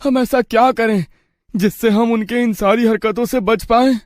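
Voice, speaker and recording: A man's voice: very high-pitched, normal speed, no room sound, studio quality. A man playing an earnest, worried and determined young brother-in-law, pleading in a horror-comedy family story. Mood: worried, respectful.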